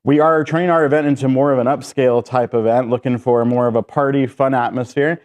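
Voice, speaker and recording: A man's voice: medium pitch, steady tone, a little fast, a little mellow. A man, a guest on a sports radio show, upbeat and promotional about a fundraiser. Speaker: guest, alumni club president-elect.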